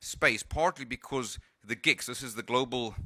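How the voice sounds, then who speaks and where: Man, South African-Californian accent, conference presentation, halting with fillers, dry close sound. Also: mid-range voice, sharp tone, dry recording. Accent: South African and Californian mix. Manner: hesitant, many fillers and restarts. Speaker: conference speaker.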